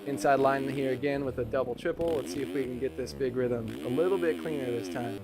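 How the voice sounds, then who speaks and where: A man's voice: mid-range voice, brisk, a loud crowd. A man giving casual, analytical color commentary on an esports race, with plenty of fillers. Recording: noisy background.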